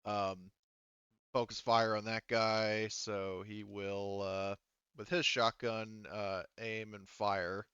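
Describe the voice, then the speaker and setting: A man's voice: fairly low pitch, flat delivery, slow, bright, forceful tone, clear, resonant tone, no room sound, muffled recording. A man speaking informally as a game master, narrating play and calling rules, with some swearing. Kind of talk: casual tabletop game narration and rules calls.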